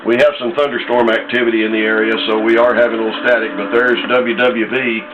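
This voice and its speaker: An American man giving a casual, unhurried product demonstration in plain words, pausing as he explains. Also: mid-range voice, level tone. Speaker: radio seller demonstrating a set. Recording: echoey, dull lo-fi audio with faint noise.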